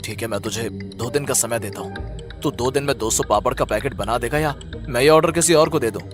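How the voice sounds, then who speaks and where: A man's voice: medium pitch, a little fast, music in the background, lightly acted. A man voicing a stern, angry village headman in a cartoon story, commanding and accusing. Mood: displeased, stern. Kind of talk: Hindi cartoon story acting.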